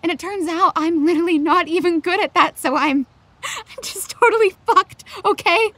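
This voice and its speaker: A woman's voice: very high-pitched, moderate pace, pushed and bright, no echo. An actress playing an anxious, defensive teenage girl in a naturalistic audio drama, with a sarcastic, exasperated edge. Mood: angry, bitter.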